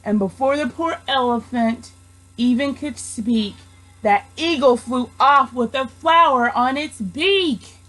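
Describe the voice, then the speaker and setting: A woman narrates a rhyming children's story. Her voice sits fairly high and she takes her time, reading expressively. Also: takes it slowly, fairly bright tone, low-quality recording. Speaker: storybook reader, student teacher.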